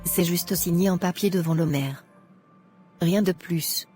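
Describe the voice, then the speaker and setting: Woman doing pushy young girlfriend in a cartoon, coaxing, soft music behind. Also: lowish voice, unhurried, natural voice. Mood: insistent. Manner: insistent, coaxing.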